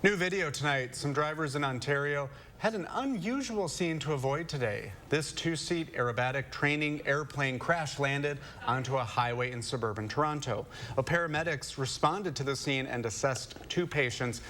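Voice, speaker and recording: A man news anchor reading headlines with brisk, clear delivery. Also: mid-range voice, expressive, a bit bright, a little hiss or hum. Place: television news studio.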